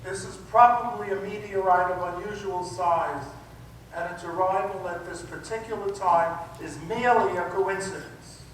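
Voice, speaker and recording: A man playing a calm, dismissive astronomy professor in an old-time radio play. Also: sits high, normal speed, lightly acted. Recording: echoey hall from far off, noisy.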